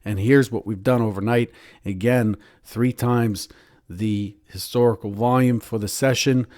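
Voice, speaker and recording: Hoarse low-voiced man analyzing markets. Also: no room sound, studio quality. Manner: steady analytical talk with frequent throat clearing. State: losing his voice, hoarse. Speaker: professional trader and show host. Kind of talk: daily market analysis commentary.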